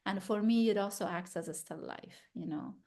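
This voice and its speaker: A woman's voice: medium pitch, moderate pace, warm tone. A woman in her thirties from Afghanistan, speaking thoughtfully about her work at a gallery talk, often restarting and searching for words. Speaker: exhibiting artist.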